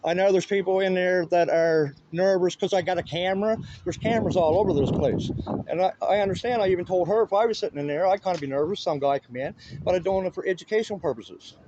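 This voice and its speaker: High-pitched man, insistent and colloquial. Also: fast, dull audio, background noise. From Canada. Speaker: citizen auditor filming police. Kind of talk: casual argumentative conversation. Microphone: handheld camera.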